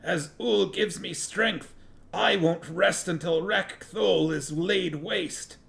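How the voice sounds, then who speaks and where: A man's voice: high voice, slow pace, vocal fry, a bit bright, pitched above the performer's own voice, full character voice. A man voicing an outraged religious zealot who speaks in a strangled, fervent mutter, in a fantasy storybook reading. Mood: fervent vow.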